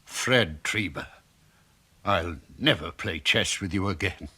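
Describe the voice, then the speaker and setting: An actor playing a gruff, sly, middle-aged British husband turned murderer, blunt and sardonic in a 1970s radio drama. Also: male voice, sits low, slow pace, bright, forceful tone, exaggerated voice. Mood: indignant, sullen. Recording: reverberant 1970s radio play, audible hiss.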